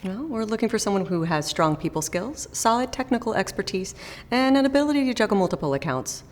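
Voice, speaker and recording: A woman's voice: mid-range voice, lively intonation, fast, big-room sound, a little hiss or hum. A woman playing a recruiter in a scripted phone interview, with a friendly, professional manner. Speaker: recruiter in role-play.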